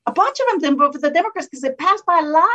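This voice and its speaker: A woman's voice: high voice, racing. Emphatic woman with a slight Colombian accent. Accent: slight Colombian Spanish accent. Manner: emphatic, combative, animated. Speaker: state senator, political guest. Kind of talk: conversational interview. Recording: dull lo-fi sound.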